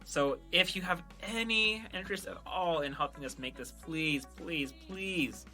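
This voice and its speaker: A man's voice: a little high, measured pace, bright, forceful tone. A man hosting a podcast in a casual, earnest and enthusiastic way, talking directly to listeners. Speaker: podcast host and audio drama creator. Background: background music.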